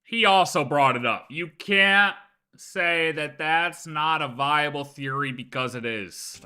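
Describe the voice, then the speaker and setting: A man's voice: on the high side, slow pace, pushed and bright, smooth. A man livestreaming a game, giving casual reaction commentary as he goes.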